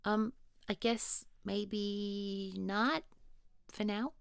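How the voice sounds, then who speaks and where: A woman's voice: mid-range voice, steady tone, very slow, creaky, smooth, no echo, clean studio sound. A woman playing a flustered, rambling young woman. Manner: flustered, rambling, self-correcting. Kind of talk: scripted audio roleplay.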